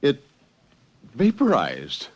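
A man playing a cautious, gentlemanly professor in an old radio drama, polite and hesitant as he reasons. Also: mid-range voice, wildly swooping pitch, drawn-out words, husky, dull audio, exaggerated voice. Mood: uneasy.

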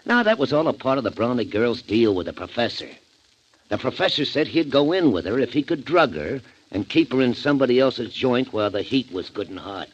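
Male gangster, 1940s radio crime show, scheming and cynical, lively inflection, muffled recording. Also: mid-range voice, brisk, husky. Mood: smug, scheming. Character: shrewd, cynical, slangy male kidnapper.

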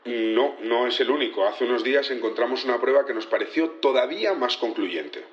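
A man's voice: medium pitch, expressive, a little fast, lightly acted. Man acting as security chief on the witness stand, audio drama, polite n a bit hesitant, echoey dull sound. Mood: hesitant, cooperative. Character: earnest male security chief testifying in court. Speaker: witness in a trial.

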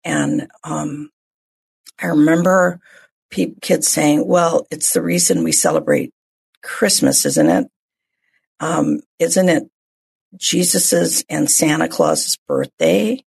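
Female radio host, very husky, low pitch, effusive, encouraging, conversational interviewing. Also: very animated, slow, darkish tone.

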